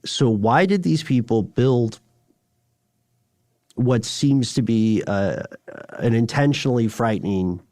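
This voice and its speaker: A man's voice: medium pitch, slow pace, long pauses, a little mellow, no room sound. Male podcast co-host, dry close-sounding recording. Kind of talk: conversational explanatory discussion.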